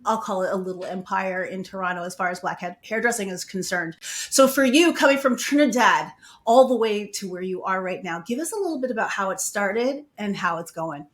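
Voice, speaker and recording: A woman's voice: medium pitch, lively intonation, a little fast. Hesitant woman co-hosting an interview. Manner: hesitant, full of fillers and restarts. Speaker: co-host. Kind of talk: casual interview talk.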